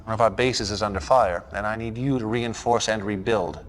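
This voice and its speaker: An actor playing a calm, menacing cult leader in a video game, briefing his commander in a measured, commanding, slyly condescending way. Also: lowish voice, slight background noise, close to an everyday voice. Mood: commanding, assured. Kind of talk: scripted video game cutscene acting.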